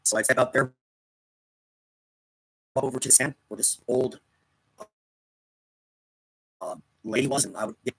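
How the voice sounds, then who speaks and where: A man in his fifties reminiscing casually in a rambling, halting monologue, often repeating words as he goes. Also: mid-range voice, breathy edge, rough recording. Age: 50s. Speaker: storyteller recounting military service.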